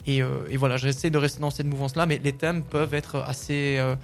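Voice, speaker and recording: A man's voice: medium pitch, flat delivery, fast talker, soft background music. A French-speaking man, a singer-songwriter, answering interview questions casually with many fillers and restarts.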